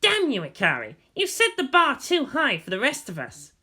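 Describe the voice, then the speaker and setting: A man's voice: very high voice, huge pitch swings, moderate pace, bright, forceful tone, husky, voice pushed up, exaggerated voice. A man voicing a wry, bored, nerdy teenage boy in a story reading, muttering and grumbling. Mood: brooding, frustrated.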